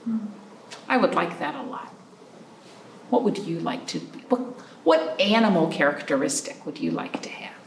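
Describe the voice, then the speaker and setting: Animated woman hosting a children's storytime. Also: lowish voice, normal speed, long pauses. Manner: animated, addresses children with simple questions. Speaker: children's library storytime host. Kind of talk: picture-book reading and chat for young children. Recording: roomy, distant mic with background noise.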